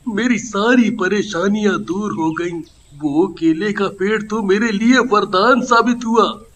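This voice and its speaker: Casting: male actor as earnest poor villager, high-pitched, unhurried, animated folk tale, slight background noise. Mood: grateful, earnest. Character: humble, good-hearted poor village man, easily amazed. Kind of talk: animated story voice acting.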